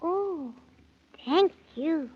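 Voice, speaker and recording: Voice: extremely high pitch, lively intonation, very slow, heavily acted. A performer playing a small, timid, polite six-year-old boy in a children's radio serial, stammering and exclaiming earnestly. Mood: earnest pleading. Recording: dull, noisy 1930s radio recording.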